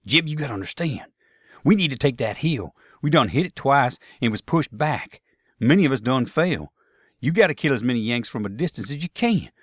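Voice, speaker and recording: A man voicing a gruff, pushy Confederate soldier with a Southern drawl, scolding his comrade in a story reading. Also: medium pitch, expressive, no echo, clean studio sound, voice pushed up, lightly acted. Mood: insistent, scolding. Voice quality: slow drawl. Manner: insistent, scolding, folksy.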